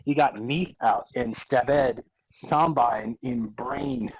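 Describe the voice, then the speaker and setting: A man narrating a comic dramatic reading of fan fiction as a podcast host, halting and amused over broken sentences. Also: medium pitch, rough recording.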